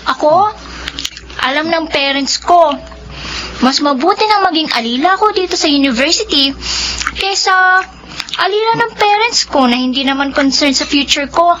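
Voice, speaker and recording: A young Filipina student with a high voice in a radio drama. She comes across as friendly, hardworking and matter-of-fact on a noisy recording. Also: animated, moderate pace, long pauses, fairly bright tone. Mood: frank, matter-of-fact. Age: young adult.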